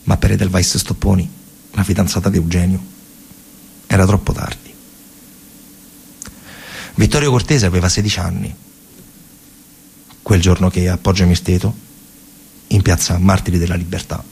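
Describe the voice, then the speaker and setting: A man with a central Italian accent from Lazio performing a dramatic theatre monologue as a storyteller, in a husky, gravelly voice, colloquial and repeating phrases for emphasis. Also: sits low, steady tone, normal speed, pauses often, darkish tone, slight background noise, poor audio. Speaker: stage storyteller, actor.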